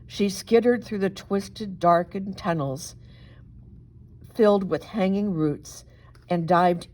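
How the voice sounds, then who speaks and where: A woman reading a children's story aloud as an expressive narrator, with dramatic, deliberate emphasis. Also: fairly low pitch. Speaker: storybook reader, reverend.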